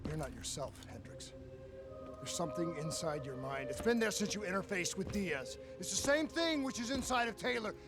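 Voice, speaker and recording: A determined soldier in a military game, his high voice swinging widely in pitch. He is intense and loyal, heard over loud music. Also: lively intonation, moderate pace. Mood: confrontational. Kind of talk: dramatic video game voice acting.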